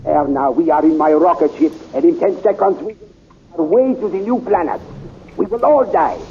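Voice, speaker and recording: A man's voice: a little high, dull audio. An actor playing a raving old male scientist in a 1930s radio drama, manic and fanatical, on a scratchy old recording. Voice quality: gravelly, drawn-out delivery. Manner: manic, with cackling laughter.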